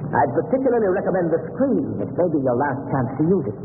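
An actor playing a mad scientist who hates humanity, menacingly courteous and gloating, in 1930s radio style. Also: male voice, on the high side, sing-song, quick pace, a little hiss or hum. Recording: tinny 1930s radio recording, echoey, music behind.

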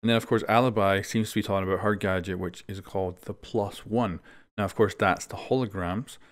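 Male gaming news host, low voice, casual conversational commentary. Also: steady tone, brisk.

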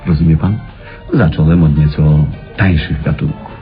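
An actor playing an eccentric, obsessive male inventor in a radio play, telling his story in a theatrical, halting way with dramatic pauses. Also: a bass voice, steady tone, soft background music. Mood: feverish, obsessed. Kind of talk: Polish radio play acting. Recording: dull old radio drama recording.

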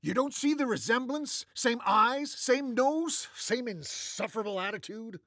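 An actor playing a smug, theatrical, easily exasperated older man from the future in an animated comedy. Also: extremely high pitch, a little slow, no echo, studio quality, full character voice. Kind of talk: animated comedy character acting.

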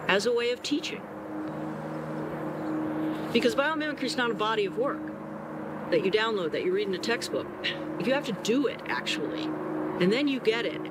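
Thoughtful older woman explaining outdoors. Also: mid-range voice. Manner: thoughtful, explanatory, varied pacing. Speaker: co-founder of a nature-inspired design institute. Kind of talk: documentary interview. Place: outdoors in a pine forest. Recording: interview under music bed. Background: soft music.

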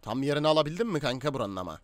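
A man in casual game roleplay chat, bossy and excitable, talking in slang like the boss of a crew. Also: medium pitch, very fast, dry recording. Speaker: gaming video host and gang boss in roleplay.

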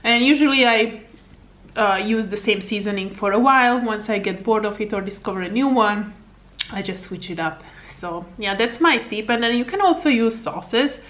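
A woman's voice: medium pitch, normal speed, a bit bright. A woman in her thirties from Slovakia, a native Hungarian speaker with a Hungarian accent, chatting casually to camera at home as a fitness coach, rambling with fillers and little laughs. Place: home living room, indoors. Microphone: camera on table at arm's length.

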